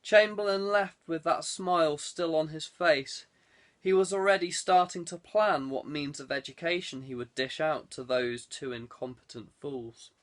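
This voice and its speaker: A male audiobook narrator reads scripted fiction. His voice sits a little higher than most men's. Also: unhurried.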